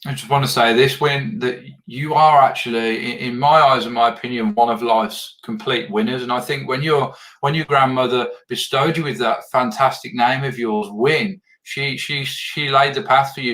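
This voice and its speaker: A man hosts a live podcast over a video call. His voice sits in an ordinary middle pitch. He talks earnestly and often repeats words while he works out what he wants to say. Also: moderate pace, few pauses, fairly bright tone, poor audio. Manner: earnest, repeats words when searching for phrasing. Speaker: podcast host. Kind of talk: casual video interview.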